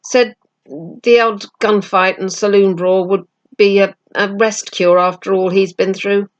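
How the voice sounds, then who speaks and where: A woman voicing an excitable schoolboy in a storybook, eager and stumbling over words. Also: medium pitch, a little slow, lo-fi sound, lightly acted. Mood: eager, chatty.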